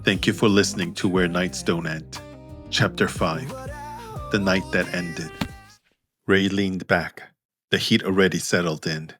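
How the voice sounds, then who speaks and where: A man narrating scripted fiction in measured, deliberate phrases. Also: low voice, fairly even intonation, over music. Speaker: narrator, author reading own story.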